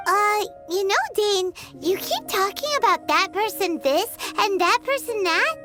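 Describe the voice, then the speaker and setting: A woman voicing a small, excitable fairy companion in a video game, with a squeaky, childlike, chirpy delivery. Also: measured pace, exaggerated voice. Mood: chatty, curious. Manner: chirpy, refers to herself in third person. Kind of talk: video game dialogue acting. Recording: voice over game music.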